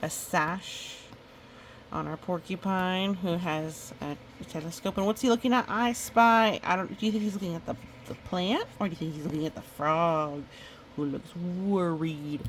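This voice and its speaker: A woman reading a picture book aloud in a chatty, expressive way, with casual asides. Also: lowish voice, normal speed, slight background noise. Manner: chatty, expressive storytelling with playful sound effects. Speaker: storybook reader.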